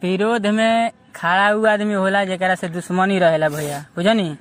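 Male, Bhojpuri accent, very high forceful voice, repetitive and insistent, informal dispute over a noisy phone recording. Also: fairly even intonation, moderate pace. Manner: insistent, repeats himself. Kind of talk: heated informal phone conversation.

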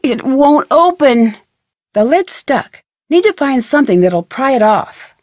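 A woman playing a curious, determined young detective in a video game, thinking aloud in crisp speech with an American accent. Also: high voice, sing-song, measured pace, darkish tone, dry recording, studio quality. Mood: alarmed, urgent.

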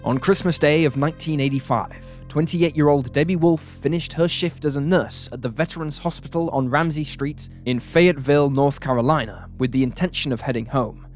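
A man narrating a true crime story from a script in a measured, serious manner. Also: on the high side. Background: background music.

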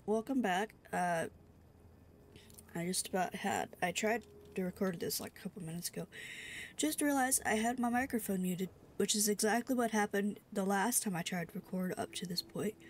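A woman's voice: mid-range voice, moderate pace, slight background noise. Stuffy young woman rambling over a game. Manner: rambling, sleepy, many fillers. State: stuffy from a cold. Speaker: gaming streamer. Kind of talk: casual let's-play commentary.

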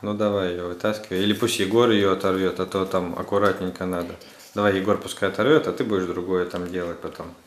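A man with a very low, deep voice talks casually to small children. He gives gentle instructions and encouragement, often ending on a short check question. The sound is roomy and echoey, recorded indoors at home. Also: level tone, quick pace. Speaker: father filming children's craft activity. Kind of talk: casual chat guiding young children. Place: small furnished room at home. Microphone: camera mic, speaker off screen.

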